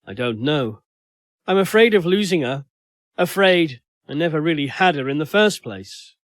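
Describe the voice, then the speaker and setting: A man's voice: a little high, lively intonation, normal speed, no echo, lightly acted. A man voicing an anxious, wounded man in a troubled romance from an audio story, uneasy and defensive. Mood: fearful.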